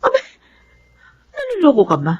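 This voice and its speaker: A woman's voice: high-pitched, wildly swooping pitch, moderate pace, muffled recording. A woman playing a strict, impatient Filipino mother in a radio drama, bossy and questioning, with a Filipino accent.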